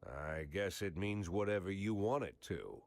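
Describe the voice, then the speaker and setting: Deep-voiced, guarded, world-weary cop. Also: male voice, animated, moderate pace, darkish tone, no echo. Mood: wary. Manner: laconic, understated. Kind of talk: video game voice acting.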